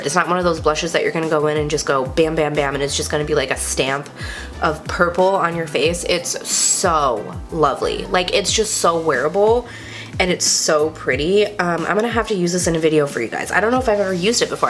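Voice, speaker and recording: A woman's voice: low voice, fairly quick, a bit bright, soft background music. A young woman, congested from a cold, chatting casually and gushing about makeup with lots of "like" fillers. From Texas. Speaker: beauty vlogger. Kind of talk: casual chatty product review.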